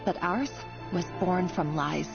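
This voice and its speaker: A woman's voice: lowish voice, measured pace, creaky. An actress playing a conflicted but resolute young woman with a hidden past, speaking earnestly in a dramatic video game style. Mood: pensive, sorrowful. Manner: earnest, theatrical. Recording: slightly dull game audio with music.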